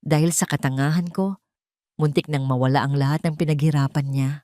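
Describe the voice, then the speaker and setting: A woman voicing a calm, tender, resolute heiress, speaking softly and earnestly in an audio drama. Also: low-pitched, fairly quick, no echo, clean studio sound, pitched below the performer's own voice, natural voice. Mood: soft, reflective. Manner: soft-spoken, earnest.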